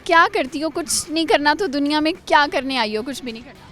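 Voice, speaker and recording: High-voiced young woman teasing interviewees. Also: expressive, sharp tone, soft background music. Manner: teasing, quick follow-up questions. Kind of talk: playful street interview. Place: college campus.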